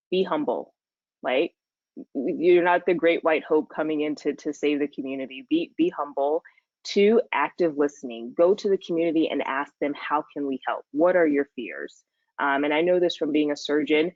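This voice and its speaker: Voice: medium pitch, dry recording, lo-fi sound. A surgeon speaking earnestly on a panel, laying out advice point by point. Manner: earnest, advising in numbered points. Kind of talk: panel discussion.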